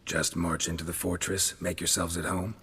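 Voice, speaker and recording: An actor playing a gruff, terse male monster hunter with a very deep, raspy voice and a dry, low-key manner. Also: flat delivery, moderate pace, a bit bright, full character voice. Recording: long hall reverb.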